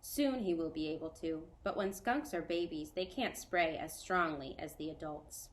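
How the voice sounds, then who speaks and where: A woman voicing a calm, kind mother in a children's story, patient and gently reassuring. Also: low-pitched, normal speed, natural voice. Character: calm kind mother caring for a baby skunk.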